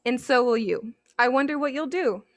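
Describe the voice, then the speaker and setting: A young woman, a student volunteer, introducing herself and reading a picture book aloud in a gentle, even storytime voice over a video call. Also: high-pitched, normal speed, no room sound, clean studio sound. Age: young adult.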